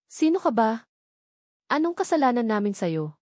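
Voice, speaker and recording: A woman's voice: a little high, sing-song, moderate pace, darkish tone, no echo. A bold captive wife in an audio novel, cold and scornful toward her captor, acted out by a woman narrator. Her defiant lines sit in a dry recording.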